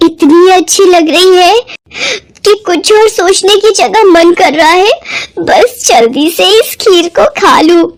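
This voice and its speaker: Hungry, greedy daughter-in-law, cartoon story female actor: shrill high pitch, whiny, gushing. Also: slow pace. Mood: greedy longing.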